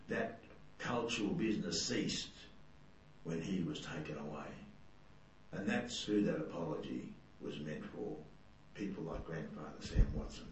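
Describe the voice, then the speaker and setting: An Australian man speaking in an earnest, measured and emphatic way as an interviewee. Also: very deep voice. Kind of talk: earnest spoken testimony. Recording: distant, very echoey room with hum.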